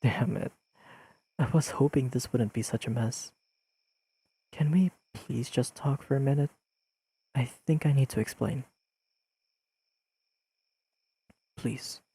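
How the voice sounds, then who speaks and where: A man playing an earnest, awkwardly analytical young best friend in an intimate audio roleplay, speaking haltingly and carefully to the listener. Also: mid-range voice, husky. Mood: frustrated, apologetic. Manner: halting, careful, softly pleading, slightly formal wording.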